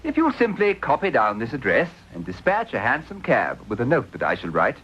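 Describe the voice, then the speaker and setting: An actor playing a cold, disdainful Victorian gentleman who recounts his crimes in a precise, haughty manner in a radio drama. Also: medium pitch, huge pitch swings, a little fast. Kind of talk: radio drama narration and acting. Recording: dull lo-fi old radio recording with loud hiss.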